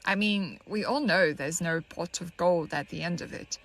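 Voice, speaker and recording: A woman playing a troubled, lonely young woman in an audio monologue, halting, wry and conversational as she muses bitterly. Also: on the low side, a bit bright. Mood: wry, musing. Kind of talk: dramatic monologue, audio drama.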